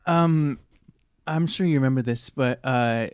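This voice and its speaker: A man's voice: mid-range voice, measured pace, darkish tone, clean tone, no room sound, natural voice. A man playing an awkward, nosy male dean's assistant in a tabletop game, hesitant and stammering with a falsely casual officiousness. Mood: probing, casual.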